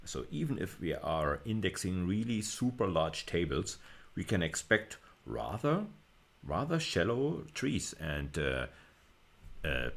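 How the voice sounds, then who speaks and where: Male lecturer, rough voice, very low and lively pitch, halting talk. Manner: thinking aloud, frequent fillers and restarts. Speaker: university lecturer. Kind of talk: recorded video lecture with screen demo.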